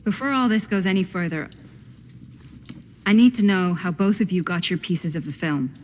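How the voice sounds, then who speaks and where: An actress playing a composed, probing middle-aged woman in a video game, measured and dryly serious. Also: lowish voice, a little hiss or hum, lightly acted. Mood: guarded, firm. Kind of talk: naturalistic video game acting.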